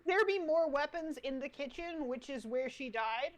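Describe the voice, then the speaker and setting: A woman's voice: high voice, lively intonation, moderate pace. A woman chatting casually and teasingly as a host reacting to a show. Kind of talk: casual reacting chat over a video.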